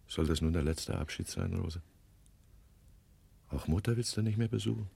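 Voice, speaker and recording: A very deep, heavily husky middle-aged man in a radio play. He speaks in a tender, folksy, wistful way, as an older married man still in love. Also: normal speed, warm tone, no echo, full character voice. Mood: tender, pleading. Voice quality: gravelly, husky. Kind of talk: German radio drama acting.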